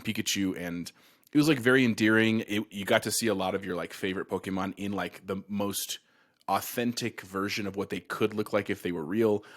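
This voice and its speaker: Middle-aged man, lower register, enthusiastic, casual podcast co-host.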